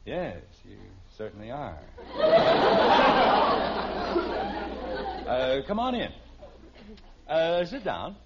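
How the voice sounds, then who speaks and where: A man's voice: fairly high pitch, huge pitch swings, extremely slow, long pauses, over a noisy crowd, exaggerated voice. A man playing a flustered middle-aged American father in a radio sitcom, hesitant and stammering. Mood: flustered, eager. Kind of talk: 1950s radio sitcom acting. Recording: muffled old radio recording, faint noise.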